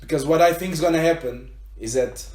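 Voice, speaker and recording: A man's voice: fairly high pitch, fast, darkish tone. A Portuguese man in his twenties with a Portuguese accent, chatting bluntly and giving strong opinions on a casual podcast. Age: 20s. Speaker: podcast guest. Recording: echoey room.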